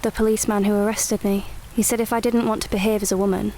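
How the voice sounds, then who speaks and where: Radio drama: woman plays frank young suffragette, 1912. Measured, earnest, literary delivery, mid pitch, narrow range, noisy ambience. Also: level tone, mellow, natural voice. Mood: bitter, frank. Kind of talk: radio drama narration and dialogue.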